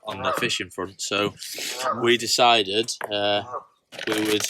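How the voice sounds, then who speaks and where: A man co-hosting a fishing channel, chatting casually outdoors at a fishing lake and rating a beer, with a relaxed, rambling manner full of restarts. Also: fairly low pitch, slow pace, noisy background. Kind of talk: casual chat. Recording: outdoor recording with loud birdsong. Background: loud birdsong.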